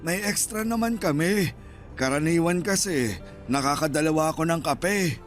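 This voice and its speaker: Radio drama role: male actor as elderly Filipino night vendor, thin and shy, notably high voice, plain homely delivery. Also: animated, moderate pace, faint music behind, lightly acted. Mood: kindly, casual. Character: small, thin, shy old husband, night street vendor.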